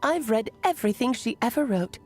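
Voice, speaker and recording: A woman's voice: on the high side, expressive, brisk, a little mellow, soft background music, natural voice. A woman doing a bubbly, coy mystery guide. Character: playful, mysterious female guide, adult.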